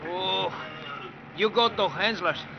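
Mournful Mexican drunkard, thick Spanish accent, broken English, dragging pace, muffled noisy 1940s radio. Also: male voice, sits high, takes it slowly, vocal fry, heavily acted. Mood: woeful, resigned. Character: woeful, fearful Mexican drunkard hauled to forced labor. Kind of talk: 1940s radio drama acting.

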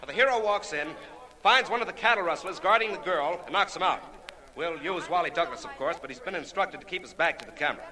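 A brisk, exasperated movie director in a 1940s radio drama. The voice is high and piercingly bright, barking orders with a sarcastic edge. It rings with long reverb on an old broadcast recording. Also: male voice. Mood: explaining, brisk.

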